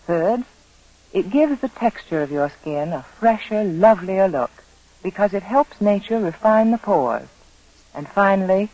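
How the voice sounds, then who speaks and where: An old radio recording of a woman with animated, widely varied intonation. She speaks as a beauty expert spokeswoman in a scripted 1940s sales style. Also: medium pitch, rough recording.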